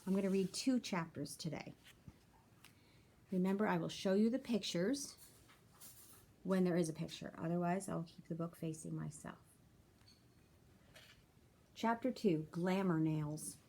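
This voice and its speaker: A woman's voice: fairly low pitch, a little slow, long pauses, dark tone. A woman reading a children's chapter book aloud like a teacher, with simple explanatory asides for young listeners.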